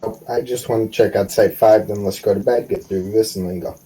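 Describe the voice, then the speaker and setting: A man with a deep, rough-edged voice talks quickly. He is a player in a tabletop game, casually laying out plans to the group. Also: steady tone, mellow. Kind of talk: casual game planning chat.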